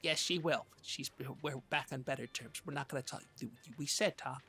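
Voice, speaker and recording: A podcast co-host telling rambling comic stories in a halting, mock-serious way. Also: mid-range voice, pushed and bright. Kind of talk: rambling comedic podcast chat.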